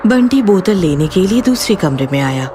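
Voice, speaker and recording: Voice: mid-range voice, very animated, moderate pace, music in the background. A narrator reading a scripted horror story.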